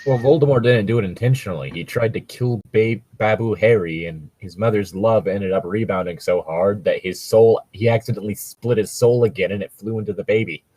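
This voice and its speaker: A man co-hosting a casual comedic fan fiction reading, joking crudely and swearing in an animated way. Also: on the low side, a little fast, few pauses, poor audio.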